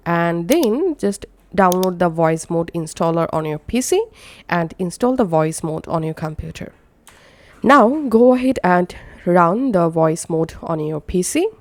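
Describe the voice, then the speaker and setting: A woman with a slightly low voice narrates a software tutorial. She gives instructions one step at a time, as the presenter of a screen-recorded how-to. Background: screen-recording voice-over.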